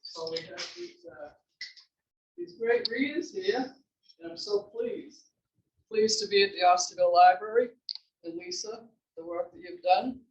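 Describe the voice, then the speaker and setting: A woman's voice: mid-range voice, animated, unhurried, muffled recording. Woman playwright speaking in echoey room. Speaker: playwright introducing her work. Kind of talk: informal introductory speech. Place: library room before an audience. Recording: echoey room, distant mic.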